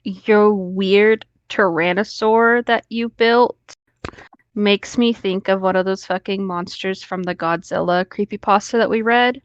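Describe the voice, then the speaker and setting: A woman's voice: mid-range voice, fairly even intonation, measured pace, clear, resonant tone, dry recording. A woman co-hosting a podcast, talking casually and a little hesitantly over a slightly muffled online call. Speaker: podcast co-host. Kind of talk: casual group chat, hesitant phrasing.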